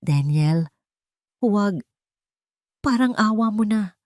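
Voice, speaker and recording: A woman's voice: medium pitch, lively intonation, slow pace, darkish tone, no echo, clean studio sound, pitched above the performer's own voice. Desperate pleading Filipino mother, acted by a woman. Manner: pleading, trembling. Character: desperate young mother pleading for her sick son.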